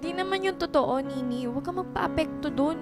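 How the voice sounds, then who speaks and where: A devoted young daughter in a radio drama. She speaks in a high, earnest Filipino-accented voice, politely, over clearly audible music. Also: a little fast. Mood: soothing. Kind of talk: Tagalog radio drama acting.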